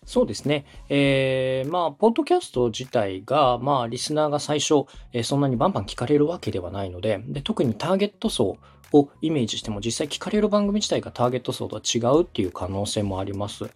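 A man's voice: mid-range voice, very animated, quick pace, hardly any pauses, a little mellow, slightly rough. A man from Osaka giving polite, methodical advice as a podcast host and radio director. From Osaka, Japan. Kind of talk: explanatory consulting talk.

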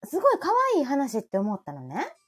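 A woman with a Kansai accent in casual, expressive and colloquial conversation as a co-host on a live audio stream. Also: on the high side, fairly quick, breathy edge. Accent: Kansai Japanese. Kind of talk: casual chat.

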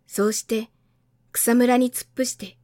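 A woman's voice: a little high, steady tone, moderate pace, warm tone, dry recording, studio quality. A woman narrating a storybook read-aloud as a voice actor.

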